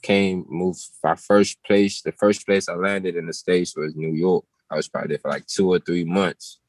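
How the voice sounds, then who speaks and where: A man's voice: deep voice, level tone, normal speed, darkish tone, dry recording. A man in his twenties with a Jamaican accent, a musician chatting casually as a guest on an interview show. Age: 20s. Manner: laid-back, slangy, laughs often.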